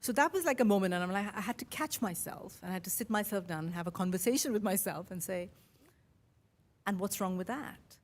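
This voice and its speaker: A middle-aged woman with an Indian accent, a mix of Mumbai, Kerala and London, telling a personal story in a chatty, animated way as a podcast host. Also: medium pitch, brisk. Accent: Indian English, Mumbai and Kerala with London influence. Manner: chatty, animated, quotes her own thoughts.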